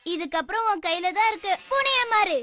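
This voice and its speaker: A woman's voice: very high-pitched, expressive, brisk, sharp tone, full character voice. A woman voicing a stern magical cat boy who lectures and scolds in a dubbed cartoon. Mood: instructive. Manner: scolding, instructive.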